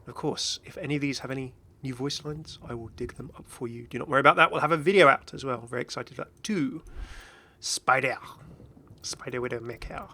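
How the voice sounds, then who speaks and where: A man's voice: mid-range voice, fairly quick, bright, forceful tone, no room sound. A man hosting a gaming video with casual, chatty commentary that drifts into hesitant asides. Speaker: video host, game content creator.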